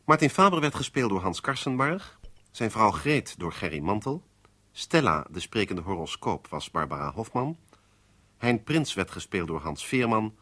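A male announcer reads scripted credits in a formal style. His voice is low, with expressive intonation, in a dry studio sound. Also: normal speed, no echo.

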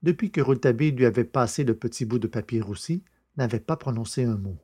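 A man's voice: mid-range voice, quick pace, mellow, breathy edge. A man narrating a novel in a scripted, literary storytelling style. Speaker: audiobook narrator.

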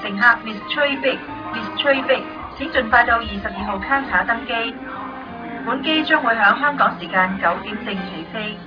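An actress playing a formal airport announcer, giving a public-address boarding call. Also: female voice, on the high side, normal speed, natural voice. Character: formal female airport announcer calling passengers. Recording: muffled, distant announcement under music.

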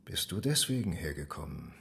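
An actor in a radio drama playing a wise master of miniature painting, measured and philosophical in manner. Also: male voice, very deep voice, sing-song, gravelly, fairly bright tone, slight background noise, heavily acted. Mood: composed, probing.

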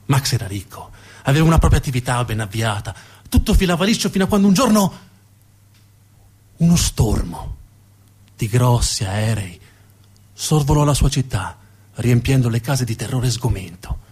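A man's voice: on the low side, a little mellow, slightly rough. A man performing a theatrical narrative monologue, dramatic and emphatic, building on repeated phrases. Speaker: actor and monologue storyteller.

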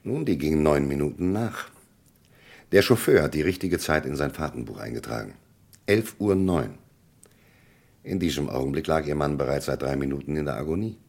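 Relentless radio-drama commissioner: low, strongly modulated, formal and probing. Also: male voice, animated, moderate pace, vocal fry, a little mellow, heavily acted. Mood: probing, authoritative. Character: shrewd male police commissioner, courteous but relentless interrogator. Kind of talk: German radio crime drama acting.

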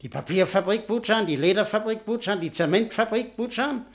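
High-voiced, domineering tycoon. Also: male voice, lively intonation, brisk. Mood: imperious. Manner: blunt, imperious. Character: domineering, blunt male industrial tycoon, self-important. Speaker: radio drama actor. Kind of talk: German radio play acting.

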